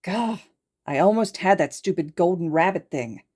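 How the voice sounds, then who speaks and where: A woman's voice: fairly low pitch, expressive, normal speed, a little mellow, breathy edge. A woman voicing a frustrated, grumbling teenage student in a story.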